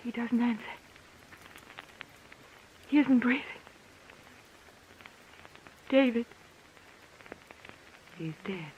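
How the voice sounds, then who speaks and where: A woman's voice: a little high, expressive, drawn-out words, pauses often, breathy edge, heavily acted. An actress playing a desperate, grief-crazed mother in melodramatic, wailing 1940s radio style. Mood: hysterical, stricken. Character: desperate, grief-crazed mother longing for her dead son. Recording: muffled old radio drama recording.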